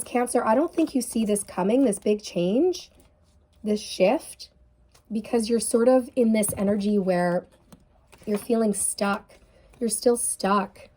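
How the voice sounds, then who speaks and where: Soft-toned woman giving a tarot reading. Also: medium pitch. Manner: earnest, intuitive, many fillers like "like" and "um". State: sniffly, tired voice. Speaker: tarot reader.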